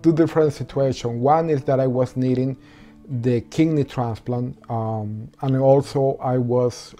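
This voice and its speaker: A man with a Venezuelan accent, a Spanish speaker, telling his own story in halting English with many pauses and restarts. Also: mid-range voice, fairly even intonation, warm tone, soft background music. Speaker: interviewee. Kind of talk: personal story interview.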